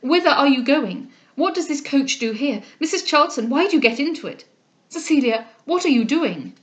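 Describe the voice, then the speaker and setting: An impassioned young gentleman lover, played by a woman narrator, pitched fairly high. The delivery is vehement and imploring, full of urgent exclamations, as in a dramatic storybook reading. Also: animated, moderate pace. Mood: urgent questioning. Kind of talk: dramatic audiobook character reading.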